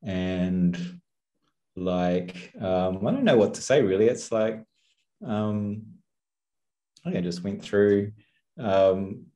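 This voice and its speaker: A man with a New Zealand accent, low in pitch and unhurried, with a mellow, dark tone. He talks haltingly in a relaxed interview, full of ums and restarts, through a headset mic in a small room. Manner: hesitant, many ums and restarts, soft-spoken. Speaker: guest. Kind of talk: casual interview over video call. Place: small room with chalkboard, indoors.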